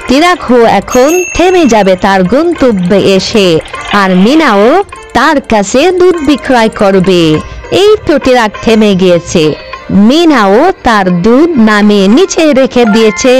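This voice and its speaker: Woman, children's story narrator, slightly slow, halting repetitive phrasing, soft music underneath. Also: a little high, big pitch swings. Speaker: cartoon channel narrator.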